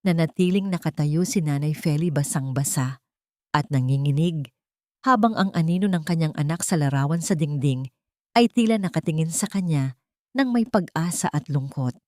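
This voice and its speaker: Low-voiced woman telling a dark story. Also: dry recording, clean studio sound. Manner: calm, measured, solemn. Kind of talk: scripted dramatic audio story narration.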